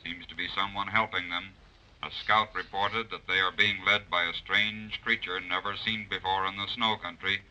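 A brisk army dispatcher in an old radio serial. The voice is low and extremely sharp, with clipped official delivery. The recording is dull and dated. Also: male voice, steady tone. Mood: urgent, official. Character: brisk military radio operator reporting to headquarters. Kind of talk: 1930s radio acting.

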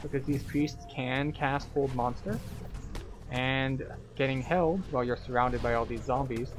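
A man with a mid-pitched voice gives a guided game commentary. He explains tactics step by step with a light playful touch, and music plays behind him. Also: steady tone, unhurried. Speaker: host of a game playthrough guide.

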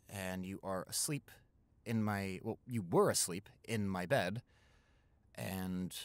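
A man's voice: medium pitch, no echo, close to an everyday voice. Roleplay audio boyfriend, acted by a man: young American, college student, playful, reassuring, hesitant, very dry close sound. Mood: soothing. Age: young adult. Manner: teasing, reassuring, hesitant stammers.

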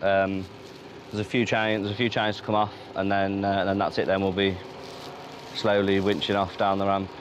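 A man's voice: low voice, level tone, normal speed. A man on a haulage crew, hesitantly and casually explaining the job outdoors in a yard. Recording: muffled outdoor yard, faint noise.

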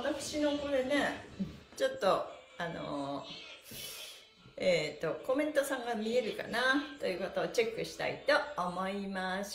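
Woman, high voice, upbeat stretching instructor, chatty livestream delivery, roomy echo, music. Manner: cheerful, sing-song chanting counts, often chuckling. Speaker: stretching class host, mime instructor. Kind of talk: livestream exercise lesson with chatty asides. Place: small bare indoor room. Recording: echoey room with music.

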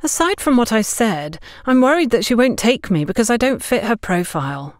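Woman voicing a nervous, earnest young woman, raised pitch. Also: very animated, moderate pace, no echo, studio quality. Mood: worried. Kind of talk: audio story character dialogue.

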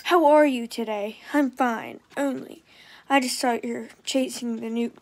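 A bossy, excitable little schoolgirl from a storybook, read aloud by a woman. Her voice is high and shrill, and she talks in an emphatic, insistent way. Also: unhurried.

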